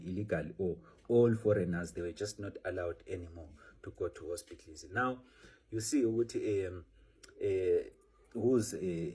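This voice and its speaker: A South African man comments on the news in a loose, rambling way, with many "eh" fillers and Zulu words mixed in. His voice is very low and dark in tone, and extremely unclear in texture. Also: breathy edge.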